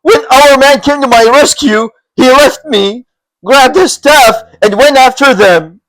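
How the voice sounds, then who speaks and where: A man's voice: very high-pitched, measured pace, a bit bright, no echo, pitched above the performer's own voice, low-quality recording. A man voicing a beaten, pleading male bandit lieutenant in a story reading. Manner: desperate, pleading.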